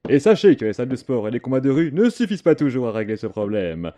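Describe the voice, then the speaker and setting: A man's voice: mid-range voice, very animated, fast talker, warm tone, muffled recording, lightly acted. A man acting a bombastic superhero with a pompous, declamatory delivery in an audio comedy. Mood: pompous, boastful. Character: bombastic male superhero selling protein powder in an advert.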